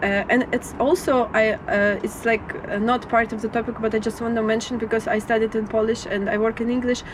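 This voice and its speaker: A woman's voice: fairly high pitch, little pitch movement, fairly quick, background noise. A young adult woman whose native language is Russian, chatting as an interview guest, hesitant with many fillers and restarts. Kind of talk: casual interview chat.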